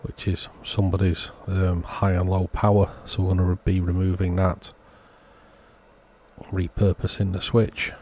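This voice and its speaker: A man's voice: low-pitched, flat delivery, unhurried, mellow, slight background noise. A man narrating a hands-on repair walkthrough in a matter-of-fact, understated way, as the host of a repair channel.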